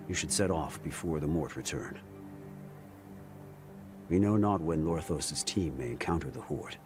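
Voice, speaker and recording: An actor playing a grim, battle-hardened mercenary in a fantasy game, speaking sternly and formally. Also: male voice, rumbling low. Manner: slow, grave, stern. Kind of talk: dramatic fantasy game cutscene acting.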